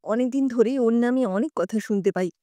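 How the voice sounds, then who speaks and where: A woman's voice: on the high side, a little fast, mellow, no room sound, studio quality, voice pushed down, natural voice. A woman voicing an older neighbourhood aunt in an audio story, stern and righteous, with a scolding, aggrieved, emphatic delivery. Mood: aggrieved, firm.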